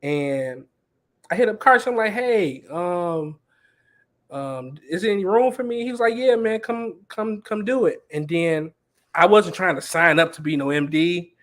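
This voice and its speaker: A man's voice: high voice, unhurried. A young man who plays music at church, telling stories casually on a panel and building on what others said. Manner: relaxed, storytelling, builds on others.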